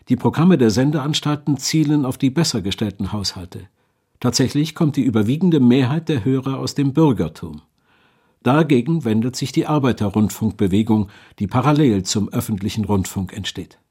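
A man's voice: mid-range voice, moderate pace, warm tone, slightly rough. A man reading scripted explanatory narration for a documentary.

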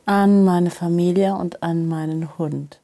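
A middle-aged woman being interviewed, telling personal anecdotes in a casual, animated and emphatic way. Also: lowish voice, unhurried. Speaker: interview guest, journalist.

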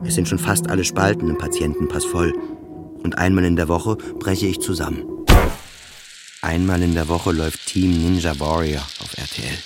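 An actor playing a weary, depressed psychiatric patient in a literary radio play, telling his story in halting, repetitive phrases. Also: low-pitched, fairly even intonation, loud music behind the voice. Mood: flat, weary. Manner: halting short phrases, repeats words, often drops to whispers.

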